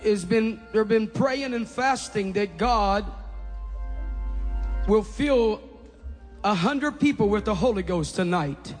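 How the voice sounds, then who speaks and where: A man preaching a fervent Pentecostal sermon in careful English, his first language being Portuguese, often rising to shouts. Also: sits high. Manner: halting, non-native English phrasing. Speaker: pastor. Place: large church hall. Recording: reverberant hall, faint music behind.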